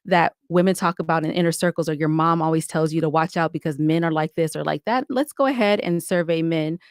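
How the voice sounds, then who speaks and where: A woman's voice: low voice, brisk, clear, resonant tone, no room sound, studio quality. A woman giving talkative, explanatory answers as a guest in a conversational podcast interview. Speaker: podcast guest, audio drama creator.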